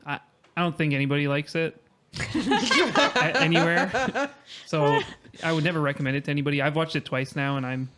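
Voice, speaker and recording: A man with a fairly high voice talks casually as a guest on a podcast. He comes across as easygoing and quick to joke. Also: animated, a bit bright. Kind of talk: casual chat.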